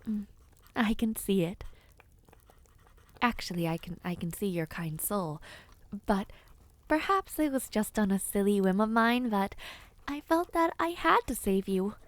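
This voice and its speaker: A woman voicing a kindly, whimsical witch in an audio roleplay, talking to the listener in a gentle, theatrical, chatty way with airy hums. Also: medium pitch, lively intonation, unhurried, pauses often, no room sound, natural voice. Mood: wistful, tender. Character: kindly, whimsical, ancient witch, flustered caring hostess.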